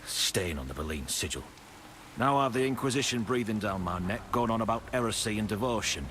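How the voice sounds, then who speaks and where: An actor playing a haughty, sardonic guard commander in a video game, deliberate and menacing. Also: male voice, fairly low pitch, expressive, a bit bright. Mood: grudging, sly. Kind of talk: video game character acting. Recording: clearly noisy, rainy ambience.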